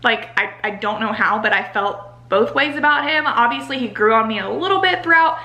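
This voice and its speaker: High, bright young American woman, chatty. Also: brisk. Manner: animated exasperated ranting, heavy use of "like". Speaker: book reviewer. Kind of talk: casual chatty book review to camera. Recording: roomy home echo.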